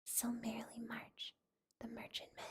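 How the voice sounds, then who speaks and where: A woman's voice: a little high, completely flat delivery, moderate pace, husky. A woman voicing a chorus of cheeky tiny mice, whispering their nursery rhymes in a sing-song chant. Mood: gleeful.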